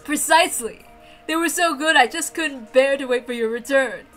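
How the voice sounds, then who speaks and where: A woman's voice: high-pitched, normal speed, a bit bright, soft background music. A woman voicing a smug, playful golden witch in a visual novel read-aloud, composed, theatrical and mocking. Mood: smug, pleased.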